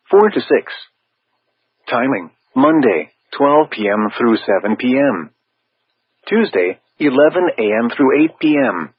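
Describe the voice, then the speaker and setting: A synthetic male text-to-speech voice reading scripted weather warning bulletins over weather radio, formal and measured, with stilted phrasing. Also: medium pitch, husky, dry recording. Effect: weather radio broadcast. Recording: tinny narrow-band weather radio.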